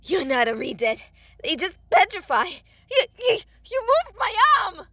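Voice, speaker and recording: Woman acting a terrified, stammering male blacksmith, shrill and sobbing. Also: very animated, unhurried, sharp tone, no room sound, heavily acted. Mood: panicked protest. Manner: stammering, pleading.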